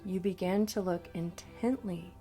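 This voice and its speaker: A woman coach narrating a scripted guided meditation in a soothing, deliberate manner. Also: fairly low pitch, steady tone, warm tone. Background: background music.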